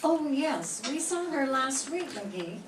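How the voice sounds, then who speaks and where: A woman's voice: high voice, very animated, takes it slowly, heavily acted. An older woman playing a wry, teasing wife. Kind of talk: 1940s radio acting. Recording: echoey room from far off, noise.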